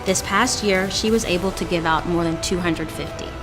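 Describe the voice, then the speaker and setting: A woman's voice: on the low side, normal speed, low-quality recording. A woman reading a scripted community tribute aloud. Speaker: company employee reading submission stories. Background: prominent background music.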